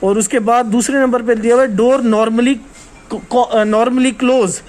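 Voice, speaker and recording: A man's voice: extremely high pitch, steady tone, takes it slowly. A man giving an informal hands-on technical lesson as a trainer, explaining step by step and often checking that listeners follow.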